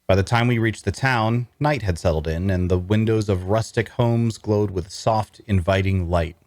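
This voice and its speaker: A weary, wry adventurer played by a male actor recounts a fantasy tale in the first person. His voice sits fairly low, and he narrates at a measured, storytelling gait. The sound is very dry, with hardly any room in it. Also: darkish tone, no room sound, lightly acted. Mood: calm, reflective.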